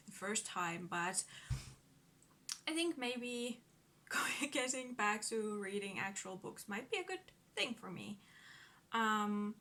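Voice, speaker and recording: Slow, nervous Finnish woman vlogging. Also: mid-range voice, fairly bright tone, a little hiss or hum. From Finland. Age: 30s. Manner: nervous, giggly, hesitant with many fillers. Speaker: book vlogger. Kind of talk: casual vlog talk to camera. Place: at home in front of a bookcase. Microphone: phone camera.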